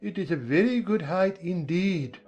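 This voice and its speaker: A curt, superior caterpillar from a storybook dramatization, acted out by a performer. The words come slowly and with contrary disdain at a middling pitch. The sound is slightly dull, with faint music underneath. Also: animated, a little mellow, lightly acted. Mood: decided, irritated. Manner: slow, drawn-out, terse questioning and contradicting. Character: curt, contrary, haughty caterpillar smoking a hookah. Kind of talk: dramatized audiobook character acting.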